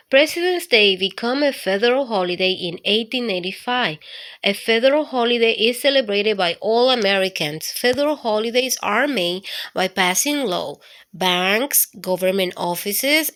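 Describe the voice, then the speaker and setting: A woman reading an educational story aloud for children, in an expressive, instructive way. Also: on the high side. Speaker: children's storytime host and reader. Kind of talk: read-aloud educational narration for kids.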